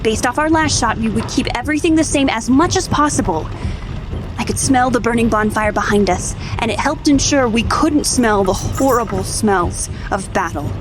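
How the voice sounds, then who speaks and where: A woman's voice: on the high side, moderate pace, background noise, natural voice. A woman playing a young knight who narrates a battle in the first person for a fantasy audio drama. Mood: focused, recounting.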